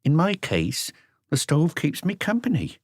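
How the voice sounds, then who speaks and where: Man acting an elderly mother, raised pitch, wary, proud, defiant retorts, audiobook character. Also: huge pitch swings, a little slow, no room sound, clean studio sound, heavily acted. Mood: wistful, proud. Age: older. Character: sharp-tongued elderly mother, wary, proud of her son.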